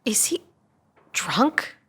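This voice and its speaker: A woman playing a sarcastic listener who mutters scornful jabs in a breathy half-whisper at a table read. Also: drawn-out words, fairly bright tone.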